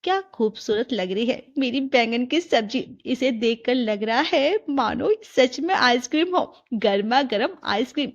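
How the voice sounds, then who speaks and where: A woman's voice: on the high side, lively intonation, unhurried, hardly any pauses. Woman acting a chatty, dramatic young daughter-in-law, muffled. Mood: pleased. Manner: chatty, dramatic, self-talking. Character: Priya, spirited scheming young daughter-in-law craving ice cream. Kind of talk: animated Hindi story dialogue.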